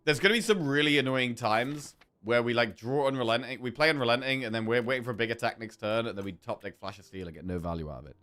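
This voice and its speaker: A man's voice: mid-range voice, quick pace, few pauses, fairly bright tone. A man with a sore throat giving casual gameplay commentary as a streamer, thinking aloud through his choices. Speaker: game streamer.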